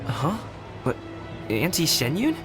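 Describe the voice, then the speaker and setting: A man's voice: fairly high pitch, huge pitch swings, slow pace, heavily acted. A man voicing a modest, considerate young man in a video game, speaking earnestly and a little hesitantly. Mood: reflective. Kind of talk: video game character acting. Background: music.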